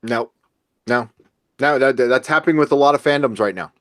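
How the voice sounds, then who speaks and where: A man's voice: mid-range voice, fairly quick, fairly bright tone, no echo, clean studio sound. A man hosting a podcast, talking casually and informally about fandom. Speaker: podcast host. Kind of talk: casual fan podcast discussion.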